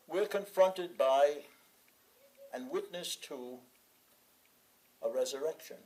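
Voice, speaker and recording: Guy reading a sermon off the page, mid-range voice, careful phrases w pauses. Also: medium pitch, a little mellow, rough recording. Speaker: sermon reader. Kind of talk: sermon read from a written text.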